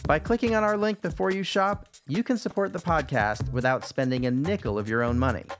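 A man's voice: fairly high pitch, brisk. A man hosting a podcast, reading a scripted upbeat introduction and sign-off notes. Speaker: podcast host. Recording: music bed behind the voice.

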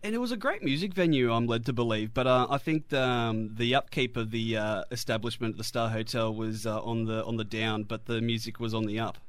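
A man's voice: mid-range voice, a little fast, hardly any pauses, clear, resonant tone. A man with an Australian accent hosting a radio interview, chatty and a little hesitant, with fillers. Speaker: radio host.

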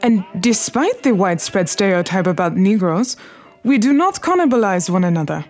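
A woman's voice: medium pitch, sing-song, moderate pace, lightly acted. An actress playing a proud, defiant Harlem crime queen in a period radio drama, elegant and commanding, with formal, precise diction.

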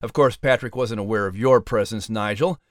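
A man narrating a children's story as announcer, with expressive, scripted storytelling delivery. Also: on the low side, fairly quick, dry recording, clean studio sound.

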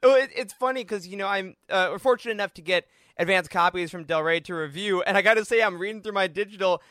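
A man's voice: high-pitched, fast talker, pushed and bright, no room sound, clean studio sound. A man hosting a podcast, chatting casually in an enthusiastic, talkative way. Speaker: podcast host. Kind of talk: casual interview chat.

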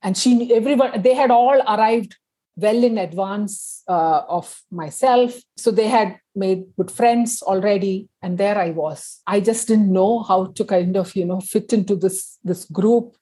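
Wanita India bersuara serak, bercerita santai. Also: female voice, mid-range voice, unhurried, warm tone, husky. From India (Kerala). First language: Tamil. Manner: chatty, many fillers, laughs through stories. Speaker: guest. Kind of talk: casual interview chat.

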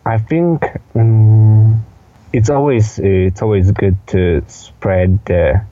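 An eighteen-year-old man with a Polish accent, giving casual interview answers in a hesitant, halting way with repeated words. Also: on the low side, dark tone, no room sound, lo-fi sound. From Poland. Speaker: podcast guest, dance instructor.

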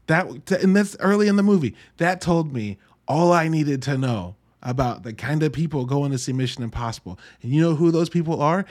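A man's voice: on the high side, a little mellow. Casual, wry man reviewing films solo. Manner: informal, wry, mildly profane. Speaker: podcast host reviewing films.

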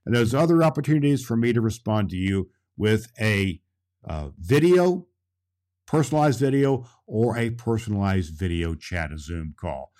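A man's voice: on the low side, expressive, moderate pace, a little mellow, close to an everyday voice. A man playing Santa Claus as a jolly old storyteller, talking directly and emphatically to children. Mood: jolly, earnest. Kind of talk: children's storytelling and friendly show hosting.

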